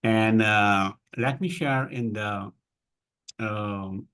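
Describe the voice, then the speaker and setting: Low-voiced man moderating, halting delivery. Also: fairly bright tone, clear, resonant tone. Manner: halting, searching for words. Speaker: moderator of an online discussion. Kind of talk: informal spoken moderation. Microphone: online video call.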